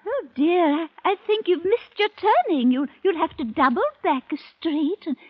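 Apologetic, fluttery passerby, extremely high pitch, stammering, thin phone-like vintage radio sound. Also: female voice, expressive, normal speed. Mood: flustered, apologetic. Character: apologetic, flustered London passerby, anxious to help. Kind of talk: 1940s radio drama acting. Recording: tinny old radio recording.

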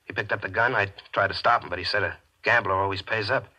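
A man's voice: on the low side, steady tone, fast talker, pushed and bright, low-quality recording. An actor playing a cold, scheming gambler who tells of his own murder in calculated 1940s radio mystery style. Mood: nervous, explaining. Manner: calculated, confessional first-person telling. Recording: vintage radio broadcast with music.

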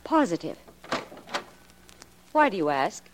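An actress playing a stubborn, guarded young secretary, crisp and defensive, in a 1940s radio mystery. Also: female voice, fairly low pitch, sing-song, takes it slowly. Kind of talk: late 1940s radio drama acting. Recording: noisy old 1940s radio recording.

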